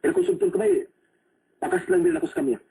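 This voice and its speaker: Animated Cebuano radio-drama ladies' man. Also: very high voice, very fast, creaky, exaggerated voice. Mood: eager. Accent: Cebuano Filipino. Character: Rani, lively Filipino seaman, womanizer turned earnest suitor. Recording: faintly noisy radio broadcast.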